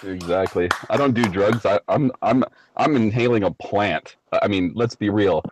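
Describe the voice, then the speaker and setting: A man's voice: lowish voice, normal speed, no room sound. A man from the United States chatting casually as a live chat guest, laid-back, blunt and jokey.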